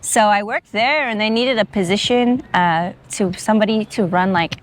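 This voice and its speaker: A woman's voice: medium pitch, moderate pace. A young adult woman, a social media creator, chatting casually as a podcast guest outdoors at a beach park, with plenty of filler words. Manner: chatty, uses many fillers like "like" and "um". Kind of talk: casual interview chat.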